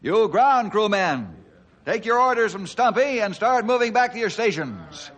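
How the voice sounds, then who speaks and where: An earnest, commanding ranger hero in a radio adventure serial. His slightly high voice moves widely in pitch, and he declaims with righteous authority. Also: big pitch swings, measured pace, a bit bright, heavily acted. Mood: urgent, commanding. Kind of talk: radio adventure drama acting.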